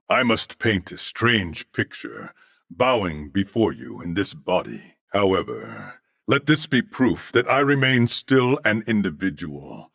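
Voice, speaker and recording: An armored cyborg man from a video game, courteous and solemn. His low voice has a gravelly creak and moves through expressive rises and falls. Every phrase is formal and measured, with noble earnestness. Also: no room sound, clean studio sound, heavily acted. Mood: humbly grateful. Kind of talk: video game character voice acting.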